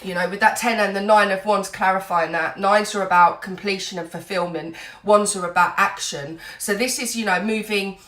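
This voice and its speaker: A woman's voice: mid-range voice, steady tone, moderate pace, hardly any pauses, fairly bright tone, echoey room. A woman giving an earnest, reassuring tarot reading while full of cold, dotting her talk with "you know". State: has a cold, a bit snotty. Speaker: tarot reader.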